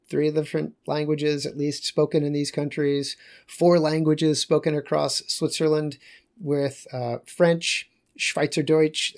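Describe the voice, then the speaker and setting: Guy teaching a geography class, chatty and a bit rambly, voice sits slightly high. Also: darkish tone. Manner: loose, conversational, with fillers and self-corrections. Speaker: lecturer. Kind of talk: recorded geography lecture.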